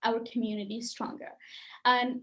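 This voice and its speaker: A woman's voice: fairly high pitch, flat delivery, moderate pace, a bit bright, poor audio. A woman speaking for a children's charity at an online celebration, earnest and grateful, with frequent ums. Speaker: charity representative.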